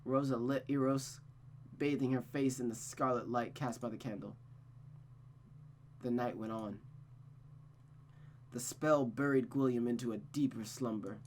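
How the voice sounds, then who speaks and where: A woman's voice: very deep voice, steady tone, unhurried, pauses often, darkish tone, a little hiss or hum. A woman streaming a visual novel, moving between story narration and casual commentary. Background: music.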